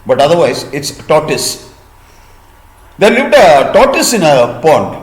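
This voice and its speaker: A man with an Indian accent telling a folk tale to listeners in a deliberate, teacherly way with pauses. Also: on the high side, sing-song. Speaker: storyteller and host. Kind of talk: storytelling of a folk tale for listeners learning vocabulary. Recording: echoey room.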